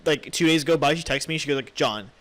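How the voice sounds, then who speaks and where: A man's voice: fairly high pitch, fairly quick, fairly bright tone. A young American man co-hosting a podcast, chatting casually in a blunt, opinionated, sweary way. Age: young adult. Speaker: podcast co-host. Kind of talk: casual chat.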